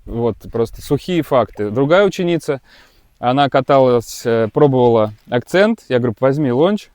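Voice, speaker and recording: A man's voice: medium pitch, expressive, normal speed, darkish tone, no room sound, clean studio sound. A man who runs a kite school, giving a casual, rambling gear review with many hesitations and restarts.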